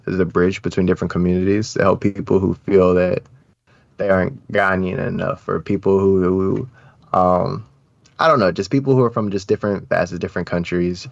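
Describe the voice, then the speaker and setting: A man's voice: low-pitched, vocal fry, no echo. A young American man talking casually and thoughtfully as an interview guest, in informal, slangy speech. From United States. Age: young adult. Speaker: interview guest, brand founder. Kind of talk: casual reflective interview talk. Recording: slightly dull, dry audio.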